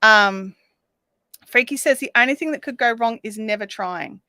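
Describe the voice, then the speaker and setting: Woman, fairly high voice, slightly raspy, casual craft-stream chat, reads comments aloud, dry close sound. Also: normal speed, pushed and bright, dry recording. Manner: chatty, reads viewer comments aloud, counts stitches under her breath. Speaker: craft livestream host. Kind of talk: casual chat while crocheting. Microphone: desk microphone.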